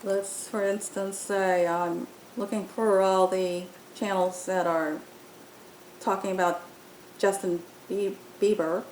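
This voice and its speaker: A woman's voice: steady tone, takes it slowly, a little mellow. A woman presenting an app tutorial, explaining it step by step in an informal walkthrough style. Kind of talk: informal software walkthrough narration. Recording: roomy echo, faint rumbling background noise.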